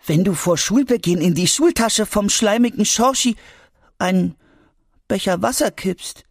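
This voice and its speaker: A man voicing a calm, clever young schoolboy in a children's audiobook, lightly raising his voice. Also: high voice, a little slow, no room sound, studio quality, voice pushed up, exaggerated voice. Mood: reassuring. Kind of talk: audiobook character voice.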